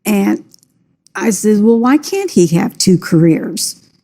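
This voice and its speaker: A woman's voice: mid-range voice, expressive, slow pace, mellow. A woman as a talk show guest, answering in a hesitant, defensive way that trails off. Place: tv studio.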